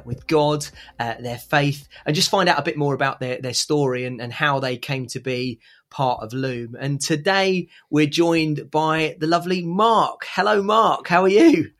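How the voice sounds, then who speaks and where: Stammering English host with an Essex twang. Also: male voice, on the high side, fairly bright tone. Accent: Hertfordshire and Essex border. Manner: stammering restarts, chatty questions. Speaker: podcast host. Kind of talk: friendly interview.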